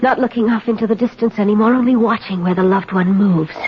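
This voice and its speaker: A woman's voice: mid-range voice, quick pace, slightly rough. An actress playing a bitter, jealous, imperious woman in a 1970s radio drama, with a theatrical, commanding, brooding delivery. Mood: brooding, disgusted. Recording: dull, noisy old radio broadcast.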